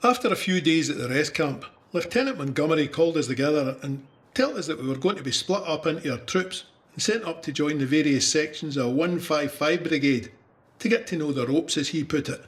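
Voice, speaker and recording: A man's voice: on the high side, expressive, a little fast, lightly acted. A man playing a young Scottish soldier who recounts trench warfare in a candid, wry, conversational way for an audio drama. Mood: wry, rueful. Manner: conversational storytelling. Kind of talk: first-person audio drama narration.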